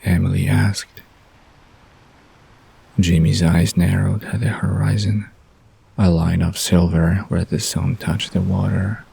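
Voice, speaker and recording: Male narrator for bedtime drama. Very husky, low, unhurried. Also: little pitch movement, dark tone. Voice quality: husky, gravelly.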